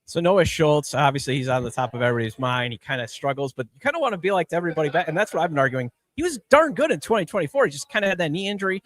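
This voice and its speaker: A man hosts a live sports interview. His voice sits a little high and he talks at a rapid clip as he questions his guest. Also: animated. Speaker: podcast host interviewing guests. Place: live at a baseball fan convention.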